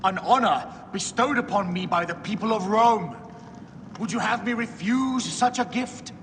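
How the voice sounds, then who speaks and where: Ambitious Roman ruler, high-pitched, declamatory, video game drama, long hall reverb, noisy. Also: male voice, moderate pace, a bit bright. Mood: imperious. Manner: declamatory, oratorical.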